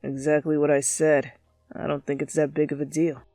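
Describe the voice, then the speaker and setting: A woman's voice: deep voice, level tone, moderate pace, dark tone, dry recording, studio quality, faint music behind, pitched below the performer's own voice. A woman voicing a hot-tempered teenage boy with a harsh, blunt, impatient way of talking, in a dramatic fan fiction reading. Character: gruff, hot-tempered teenage boy, brusque with hidden softness.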